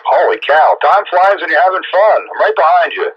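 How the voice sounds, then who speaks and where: A man's voice: fairly high pitch, animated, fairly quick, breathy edge. A man having a casual chat as a radio operator, heard over a muffled two-way radio transmission. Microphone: radio set microphone heard over air.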